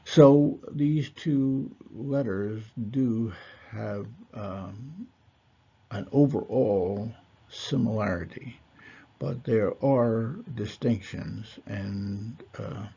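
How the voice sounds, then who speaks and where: Man, husky low voice, very slow, deliberate lecturing, dull lo-fi recording. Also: dark tone. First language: not Hebrew. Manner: measured, deliberate, with fillers and pauses. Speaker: Torah teacher.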